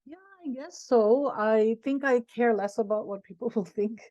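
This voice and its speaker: A woman's voice: fairly high pitch, moderate pace, mellow. Middle-aged woman, author in an interview, candid and reflective, muffled lo-fi sound. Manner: frank, reflective, thinks aloud with restarts. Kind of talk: candid conversational interview answers. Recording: dull lo-fi audio.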